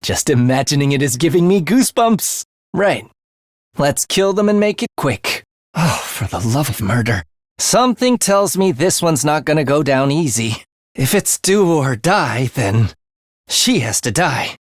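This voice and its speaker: Wildly expressive, morbid young assassin. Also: male voice, fairly high pitch, measured pace, no room sound, studio quality. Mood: bloodthirsty glee. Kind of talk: English video game voice acting.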